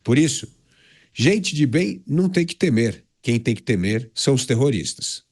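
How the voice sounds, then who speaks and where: A man's voice: mid-range voice, animated, moderate pace, a little mellow, husky. A Brazilian man giving assertive, categorical opinion commentary on a news debate show. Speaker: political commentator.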